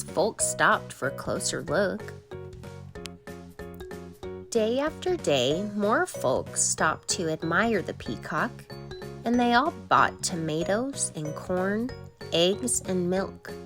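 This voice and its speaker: A woman reading a children's storybook aloud as an expressive, deliberate narrator. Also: fairly low pitch. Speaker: children's book reader.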